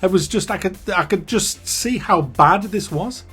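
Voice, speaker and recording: Profane ranting man, high-pitched. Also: normal speed, husky, faint music behind. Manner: animated, profane, sarcastic ranting. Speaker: movie reviewer. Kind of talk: ranting movie review.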